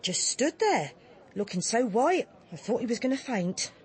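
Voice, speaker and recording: Chatty village woman, English accent, blunt and colloquial, animated pitch, radio play. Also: moderate pace. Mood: indignant, relishing. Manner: colloquial, gossipy, indignant storytelling. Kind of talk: radio drama acting.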